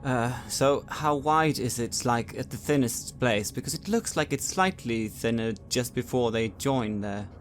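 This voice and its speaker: A man chats as a player during a tabletop roleplaying game. His voice is of middling pitch and his delivery is conversational, with faint music in the background. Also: expressive, a little slow, hardly any pauses. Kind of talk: casual tabletop game talk. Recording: music behind the voice.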